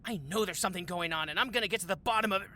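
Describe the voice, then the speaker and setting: A man's voice: high-pitched, racing, noisy background, natural voice. Man playing an indignant, suspicious office underling. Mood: suspicious, conspiratorial. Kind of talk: comedy audio drama, talking to camera.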